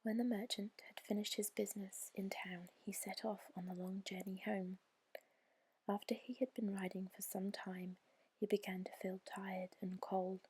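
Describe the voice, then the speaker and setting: A woman reads a bedtime story in a gentle, soothing ASMR whisper, breathy and measured, close to the microphone. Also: mid-range voice, level tone.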